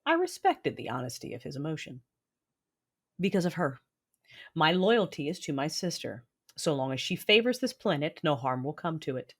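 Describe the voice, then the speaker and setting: Fallen Jedi turned Sith played by an actress: deep, husky woman, defiant and intense, narrating a sci-fi audio drama. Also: big pitch swings, normal speed, full character voice. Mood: composed, proud.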